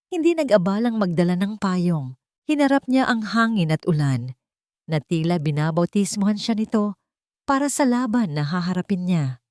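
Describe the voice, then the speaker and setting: A woman's voice: lowish voice, darkish tone, no echo, clean studio sound. A woman with a Filipino accent reading a scripted, dramatic romance story as a storyteller.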